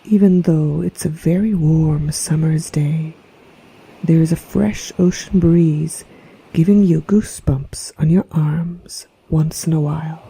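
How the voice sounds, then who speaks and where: A woman narrating a guided meditation in a soft, breathy, half-whispered voice with a gentle, soothing, hypnotic manner. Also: deep voice, fairly even intonation, slow, rough recording. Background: relaxation music.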